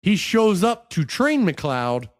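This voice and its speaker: A man's voice: sits high, sing-song, slow pace. A man with a slightly raised voice chats through a film on a podcast. He is dry and matter-of-fact, setting the record straight on plot details. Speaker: podcast co-host. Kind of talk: casual movie review banter.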